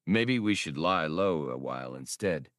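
A man's voice: very deep voice, animated, a little slow, a bit bright, no room sound, studio quality, exaggerated voice. A man voicing a genial, practical old adventurer in an audiobook, easygoing and conciliatory. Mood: hesitant.

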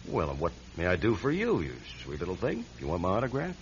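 A man's voice: low-pitched, huge pitch swings, fast. An actor playing a vain, womanizing male celebrity with oily charm and a stammer, in a radio drama. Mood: smarmy, flirtatious. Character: smooth, vain, womanizing celebrity man, flustered when cornered. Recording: dull radio recording with faint noise.

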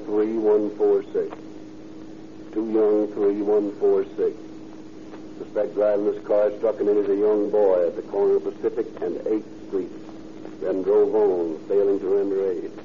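An American man reading a clipped, impersonal, official police bulletin over a 1930s police radio. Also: fairly low pitch. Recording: tinny, faintly noisy 1930s police radio.

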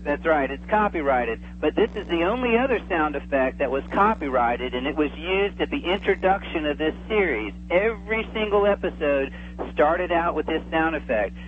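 Guy phoned into a radio show, tinny line w some hum, kinda high voice, chatting away enthusiastically. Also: expressive, normal speed. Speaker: interview guest, old-time radio enthusiast. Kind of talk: casual radio interview chat. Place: remote, calling in to a radio show. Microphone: telephone.

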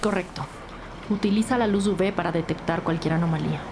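A woman's voice: on the low side, fast talker, background noise, lightly acted. An actress playing a commanding female police detective who leads an investigation in an audio drama. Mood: brisk, questioning.

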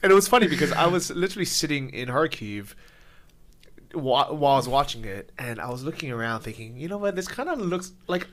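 A man's voice: mid-range voice, animated, a little fast, a bit bright. A man in casual conversation, talking enthusiastically and with emphasis.

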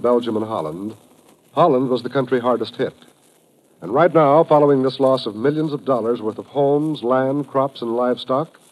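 A man's voice: mid-range voice, darkish tone, poor audio. Formal American male radio announcer. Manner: formal, earnest, measured. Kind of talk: 1950s radio announcing, scripted appeal.

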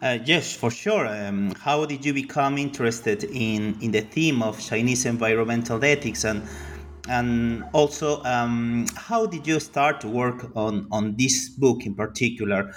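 A man hosting an academic interview podcast, polite and formal, with some halting fillers. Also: medium pitch, a little hiss or hum. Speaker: podcast host.

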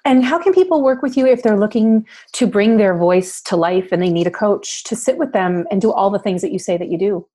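Woman, raspy voice, podcast interviewer; enthusiastic. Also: medium pitch, a little fast, few pauses, warm tone. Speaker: podcast host. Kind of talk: interview conversation.

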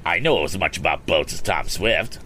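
A man's voice: deep voice, lively intonation, normal speed, bright, forceful tone, slight background noise. A man voicing a squint-eyed teenage bully who retorts in a sulky, indignant way.

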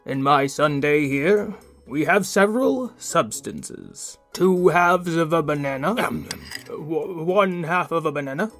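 A man's voice: fairly high pitch, lively intonation, takes it slowly, fairly bright tone, faint music behind, full character voice. A man playing a vain, fussy but kindly inventor in a children's audio drama, formal and explanatory in an old-fashioned way. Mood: patiently explaining.

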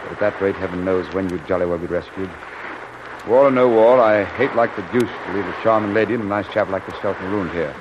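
An actor playing a genial, frank British submarine commander with hearty officer's slang in an old-time radio drama. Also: male voice, sits low, fast, lightly acted. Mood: courteous, firm. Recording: muffled, noisy old radio recording.

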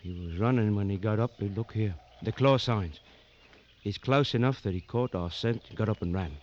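Man acting a low-voiced, terse safari tracker, old radio. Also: a little mellow, close to an everyday voice. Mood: grave, steady. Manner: terse, measured, stoic. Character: calm, watchful male safari tracker in Kenya, loyal. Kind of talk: 1940s-60s radio drama acting. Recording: dull, noisy old radio recording.

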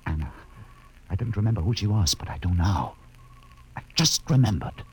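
Husky, brooding space doctor. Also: male voice, fairly low pitch, big pitch swings, a little mellow, heavily acted. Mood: haunted. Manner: halting, musing, dramatic pauses. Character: brooding, suspicious male doctor on space crew. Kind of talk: 1950s radio drama acting.

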